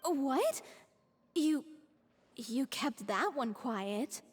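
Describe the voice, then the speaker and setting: A high-voiced young troupe actress from a game who speaks frankly and directly. A long reverb trails her lines. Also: animated, very slow, background noise, heavily acted. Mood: earnest. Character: young woman troupe member, frank and practical. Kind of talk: game voice acting.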